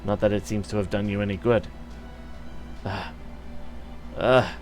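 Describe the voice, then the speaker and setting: A man voicing a scheming courtier who speaks in a measured, insinuating way. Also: lowish voice, steady tone, darkish tone, soft background music, lightly acted. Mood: sly.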